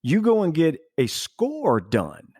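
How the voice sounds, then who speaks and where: A man's voice: on the high side, huge pitch swings, takes it slowly, darkish tone, breathy edge, no room sound, studio quality. A man hosting a casual conversational podcast interview in an effusive, emphatic, rambling way. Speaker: podcast host.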